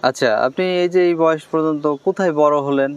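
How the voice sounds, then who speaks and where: A man's voice: on the high side, quick pace, smooth, muffled recording. A man with a Bangladeshi accent hosting an interview, addressing viewers formally and putting questions to a guest. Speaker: interviewer, host.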